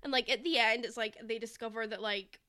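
A woman's voice: a little high, steady tone, brisk, pushed and bright. A young woman co-hosting a podcast, casually picking apart a movie in an analytical way with lots of filler "like". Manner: critical, analytical, frequent filler "like". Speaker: podcast co-host. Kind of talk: casual movie discussion chat.

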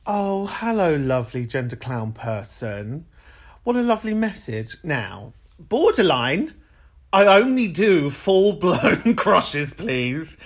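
A man's voice: on the high side, slow. Irish-raised man with an English-sounding accent. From Ireland. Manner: earnest, animated and playful with callers. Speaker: podcast host. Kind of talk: reflective solo monologue.

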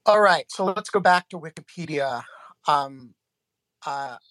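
A man hosting a podcast interview, talking haltingly with many restarts. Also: on the high side, a bit bright, dry recording, low-quality recording. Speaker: podcast host.

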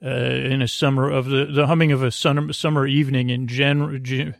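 A man hosting a sleep podcast in a creaky voice, delivering a soothing, meandering bedtime monologue that halts and restarts mid-phrase. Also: mid-range voice, flat delivery, moderate pace, dry recording, clean studio sound. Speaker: podcast host.

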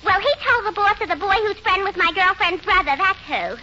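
A muffled, noisy old radio recording carries a blunt young New York woman. Her voice is extremely high, and she rambles and argues with brassy, gossipy insistence in 1940s comedy style. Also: lively intonation, brisk, bright, forceful tone, heavily acted. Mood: tactless, insistent.

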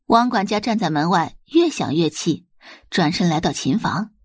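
A woman narrates an audio drama from a script. Her delivery is expressive, with a widely moving pitch and a slightly husky tone, recorded very dry. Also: moderate pace, no room sound.

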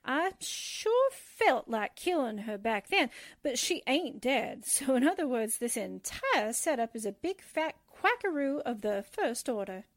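A high-voiced, slangy young newspaperwoman out of a 1940s-style radio play, played by a woman. She takes her time over her words in a streetwise way. The sound is dry and slightly dull. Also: sing-song, measured pace, few pauses, no room sound, heavily acted. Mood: brash, gleeful. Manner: wisecracking, streetwise, brash. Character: sassy, slangy young female undercover newspaperwoman. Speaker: actress in a radio drama. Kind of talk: 1940s radio drama acting.

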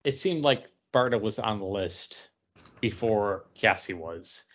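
A man's voice: on the low side, lively intonation, unhurried, a bit bright, muffled recording. A man casually talking through a story as a podcast co-host. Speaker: comic book podcast co-host. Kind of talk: casual book discussion.

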